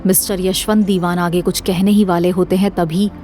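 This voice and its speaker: A woman's voice: fairly low pitch, little pitch movement, moderate pace, dark tone, faint music behind. A woman narrating a scripted dramatic story as the narrator of an audio series.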